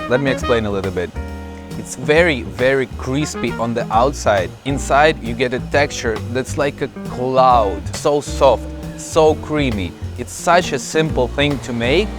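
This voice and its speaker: A man talking on camera in an enthusiastic, laughing way as he tastes street food outdoors and in a market. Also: medium pitch. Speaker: food vlogger. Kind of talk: on-camera food tasting chat. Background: background music.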